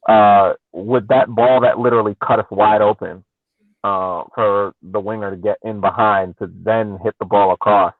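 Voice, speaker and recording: A man's voice: fairly low pitch, steady tone, moderate pace, no echo. A man on a sports podcast panel, casually debating and analysing a game over a tinny call connection. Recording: tinny phone-like call audio.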